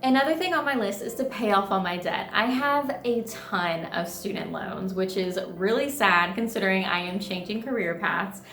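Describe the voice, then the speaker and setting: A woman's voice: medium pitch, normal speed, few pauses, a bit bright. A thirty-year-old woman vlogging in a chatty, upbeat, bubbly way. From United States. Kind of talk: casual vlog chat. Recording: echoey room with faint music.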